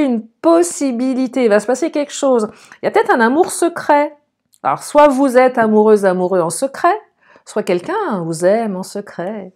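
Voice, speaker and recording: Slightly hoarse, higher-pitched woman reading cards. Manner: chatty, thinking aloud, addressing viewers directly. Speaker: online love card-reading guide and host. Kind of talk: informal spoken tarot reading to viewers.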